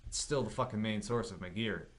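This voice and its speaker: A man's voice: on the low side, a little fast. Blunt, sweary guy chatting games. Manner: blunt, opinionated, swears freely. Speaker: gaming podcast co-host. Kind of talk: casual chat about video games.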